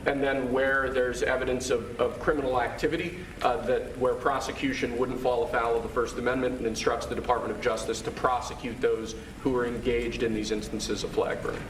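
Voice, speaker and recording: A man briefing his superior in a formal, measured way, heard in a played clip. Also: mid-range voice, little pitch movement, slight background noise. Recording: echoey, distant room in a played clip.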